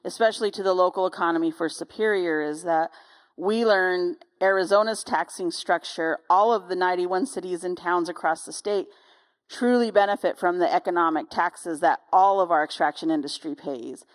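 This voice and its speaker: A woman's voice: lowish voice, normal speed. A woman, speaking conversationally as a local community representative on a panel. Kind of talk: panel discussion, conversational explaining.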